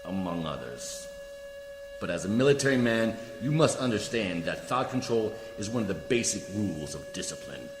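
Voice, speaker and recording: A man's voice: lowish voice, wildly swooping pitch, normal speed. Echoing reverberant hall sound, male actor as haughty alien bureaucrat, precise formal phrasing, music behind, radio play. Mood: official, cool. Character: condescending, coolly formal male alien judicial official. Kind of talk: radio play science fiction acting.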